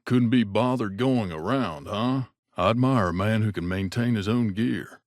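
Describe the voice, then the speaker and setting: Video game companion, male actor: devoted wasteland gunman, lowish voice, wry, familiar delivery, very dry close studio sound. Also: normal speed, no room sound, lightly acted. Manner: wry, casual, addresses boss familiarly. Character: loyal wasteland gunslinger companion man, wry, devoted to his mother.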